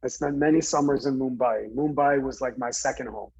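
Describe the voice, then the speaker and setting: A man from New Jersey earnestly recounting a personal story in conversation, halting, with many fillers and restarts. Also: medium pitch, creaky, darkish tone, breathy edge, poor audio. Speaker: guest speaker.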